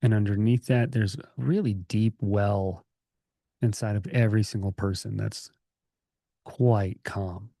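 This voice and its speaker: A man with a dark, mellow voice guides a meditation in a gentle, unscripted manner. He sits on the low side in pitch and pauses between phrases, keeping an informal, calming tone. The sound is dry, with hardly any room on it. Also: creaky, dry recording. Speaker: meditation teacher.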